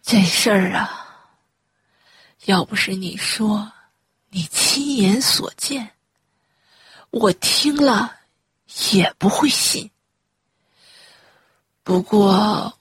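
A woman acting a frail, ailing mother in a Chinese radio drama, gentle and weary, with a breathy delivery. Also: medium pitch, dry recording, studio quality, heavily acted. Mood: wondering.

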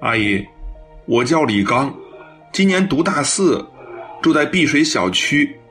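一位年轻男演员在广播剧里饰演慌张诚恳、爱道歉的男大学生，说话结巴、带着恳求。. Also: male voice, a little high, big pitch swings, unhurried, fairly bright tone, faint music behind. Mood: bewildered. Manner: stammering, pleading. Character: flustered, earnest, apologetic male university student. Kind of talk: audio drama acting.

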